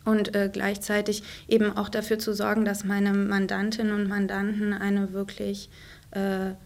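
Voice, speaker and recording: An earnest woman in her twenties speaks at a middle pitch, linking her thoughts into long, flowing sentences. She sounds committed and unhurried as she lays out her plans. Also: completely flat delivery, creaky, a little mellow. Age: 20s. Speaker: law student volunteer. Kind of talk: interview statement.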